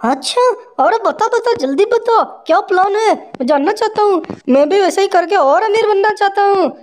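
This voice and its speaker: Very high, frantic greedy priest in a cartoon, a woman putting him on. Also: lively intonation, moderate pace, darkish tone. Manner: frantic, repeats himself eagerly. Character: greedy, impatient middle-aged male priest.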